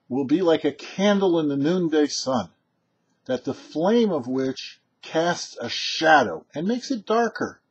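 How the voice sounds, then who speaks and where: Male religious teacher, husky voice, animated intonation, measured explanatory delivery, slightly dull audio. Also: a little high. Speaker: rabbi hosting a weekly Torah teaching show. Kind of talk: prepared religious teaching, read aloud.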